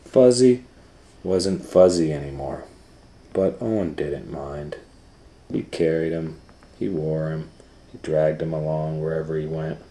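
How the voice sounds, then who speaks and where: Storytime reader, middle-aged man, deep and unhurried, whispers then booms, roomy sound. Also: slight background noise. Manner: animated, swings from hushed whispers to loud excited voices. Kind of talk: reading a picture book aloud.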